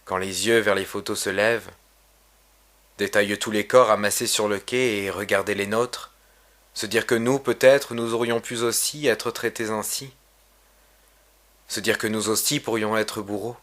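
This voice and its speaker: A man reading his own poem aloud in a solemn, measured, literary recitation. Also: on the low side, fairly even intonation, a bit bright. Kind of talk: poetry recitation.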